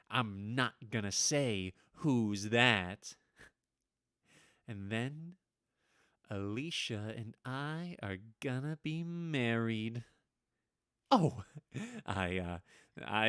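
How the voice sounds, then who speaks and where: Man acting a nervous, henpecked professor, animated and bright. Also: medium pitch, slow pace, clean tone, dry recording, studio quality. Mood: nervous, confiding. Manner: stammering, nervous, confiding. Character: henpecked, haunted male history professor, anxious and plotting. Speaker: radio drama actor. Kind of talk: vintage radio drama acting, confiding monologue.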